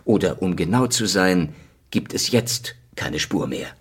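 A man acting a cynical, world-weary secret police investigator in a noir radio play, with a gravelly, sardonic delivery. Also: low-pitched, lively intonation, unhurried, no room sound, full character voice. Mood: probing. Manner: sardonic, dry. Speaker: actor and narrator in a radio play. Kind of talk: noir radio drama, hard-boiled first-person narration.

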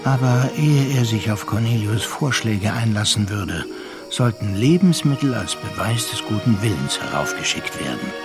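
A man narrating a literary radio play in a measured storytelling manner. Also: on the low side. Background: music.

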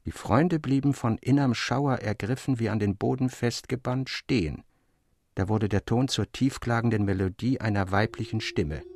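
A man narrating a literary tale from a script in a measured, formal way. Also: mid-range voice, darkish tone, no echo. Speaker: audiobook narrator.

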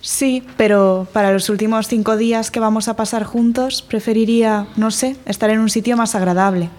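Woman acting as sceptical girlfriend, audio drama, slightly high-pitched, dry, sarcastic, complaining. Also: fairly even intonation, moderate pace, darkish tone, natural voice. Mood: resigned, annoyed.

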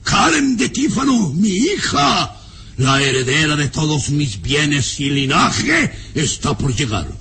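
Haughty baron, scornful father, slow commanding delivery, theatrical radio drama, swooping intonation. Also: fairly high pitch, big pitch swings, gravelly, sharp tone, slight background noise, heavily acted. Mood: angry, domineering. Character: imperious, scornful baron, father of an adult daughter. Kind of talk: old radio serial acting.

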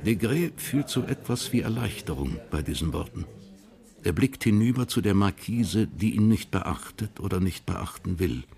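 A man narrating a period crime radio drama in a sober, measured, literary style. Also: lowish voice, expressive, vocal fry, a little mellow.

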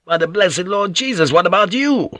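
Man playing cocky hot-tempered sea pilot, vehement, blustering, raised pitch, forceful, audiobook drama. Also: fairly even intonation, a little fast, no echo, studio quality, close to an everyday voice. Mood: enraged. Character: cocky, hot-blooded male ship's pilot, bitter and bantering.

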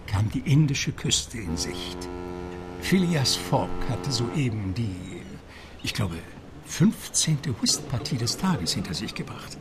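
A man's voice: fairly low pitch, background noise. A man narrating a radio play as a scripted storyteller. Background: music.

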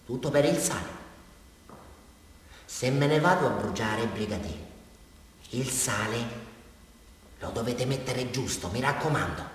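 An actor playing a rambling, unhinged villain from a small town confessing to police in a radio drama, with a Southern Italian accent and an erratic, repetitive delivery. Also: male voice, mid-range voice, expressive, a little slow, pauses often, slight background noise, close to an everyday voice. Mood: rambling, insistent. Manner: erratic, digressive, repeating himself, swinging from loud to hushed. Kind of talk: theatrical radio drama monologue. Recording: very echoey hall, distant mic, music. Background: music.

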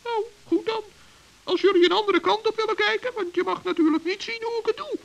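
A man playing a small, proud, excitable forest gnome in a children's radio play, chatty and self-important, with a squeaky voice. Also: brisk, highs cut off, heavily acted. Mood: earnest. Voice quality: high, creaky, squeaky. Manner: chatty, self-important, stammers when flustered.

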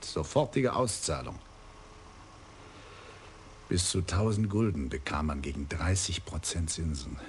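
A man's voice: rumbling low, a little hiss or hum, heavily acted. An actor playing a chatty, gossipy male nightclub doorman in a radio crime play. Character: sly male club doorman, old acquaintance of police.

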